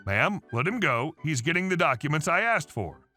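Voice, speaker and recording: A man's voice: on the high side, sing-song, moderate pace, bright, forceful tone, soft background music, full character voice. A man voicing a patient police officer who speaks formally and firmly.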